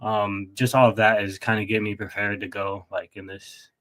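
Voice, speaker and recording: A man's voice: lowish voice, level tone, normal speed, a bit bright, poor audio. A young man from Texas answering casual sports interview questions as a college athlete, polite and earnest. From Texas, United States. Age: young adult. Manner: polite, often says yes sir, earnest. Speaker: college baseball player, interview guest.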